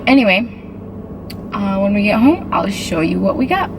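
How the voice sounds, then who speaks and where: A woman vlogging in casual, rambling chat, hoarse from a cold, full of "like" and "um". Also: medium pitch, sing-song, moderate pace. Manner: chatty, filler-heavy, with frequent "like" and "um". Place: inside a moving truck. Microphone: handheld camera. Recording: road and vehicle noise.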